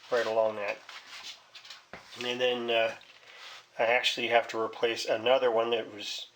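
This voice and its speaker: A man's voice: on the low side, a bit bright, slight background noise, rough recording. A man giving a casual, rambling hands-on repair demonstration, thinking aloud in a hesitant, folksy way. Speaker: hobbyist repairing a vintage radio.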